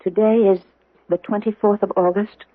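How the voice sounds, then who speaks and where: An actress playing a troubled young Roman woman haunted by visions of doom, in a dramatic, halting, foreboding old-time radio style. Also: mid-range voice, flat delivery, no room sound, natural voice. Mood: uneasy, foreboding. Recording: muffled vintage 1970s radio broadcast.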